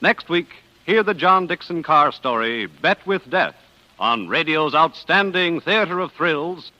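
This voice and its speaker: Very bright, forceful 1940s radio announcer. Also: male voice, fairly high pitch, expressive, a little slow, no room sound, lo-fi sound. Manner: formal, crisply enunciated, emphatic.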